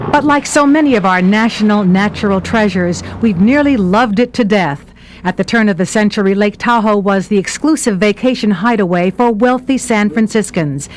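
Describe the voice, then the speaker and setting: A woman's voice: mid-range voice, a little hiss or hum. A woman reading a scripted travel narration as the reporter of the segment. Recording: music behind the narration. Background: background music.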